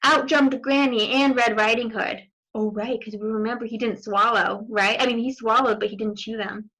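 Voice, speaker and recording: A woman's voice: a little high, steady tone, normal speed, bright, forceful tone, slightly rough, rough recording. A woman reading a children's story aloud expressively, with asides to young listeners. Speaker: storybook reader.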